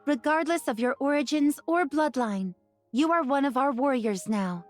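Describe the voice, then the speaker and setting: An earnest woman of a grassland tribe, in a romance game, speaking formally and with measure. Her voice is high, with soft music underneath. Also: lively intonation, moderate pace. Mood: solemn.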